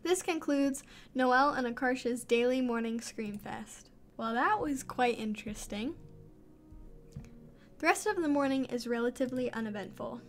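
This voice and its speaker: Perempuan bersuara tinggi membaca novel visual. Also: female voice, on the high side, moderate pace. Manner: chatty, amused asides. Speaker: gaming channel host. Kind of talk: reading a visual novel aloud with commentary.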